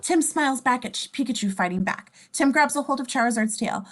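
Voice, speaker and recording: A woman's voice: high voice, expressive, brisk. A woman narrating scene descriptions in an amateur script reading, sometimes stumbling and correcting herself. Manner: stumbles over words and self-corrects.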